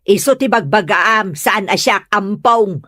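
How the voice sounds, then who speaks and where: A woman's voice: mid-range voice, unhurried, fairly bright tone, no echo, clean studio sound. A woman playing a scornful, stubborn middle-aged mother-in-law, curt and dismissive, in a comedy audio drama.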